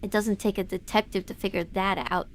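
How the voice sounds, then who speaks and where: A woman's voice: mid-range voice, steady tone, brisk, a little hiss or hum, close to an everyday voice. A woman playing a defensive young detective streamer in an audio drama, with a stern, pointed way of talking. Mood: bitter, stern.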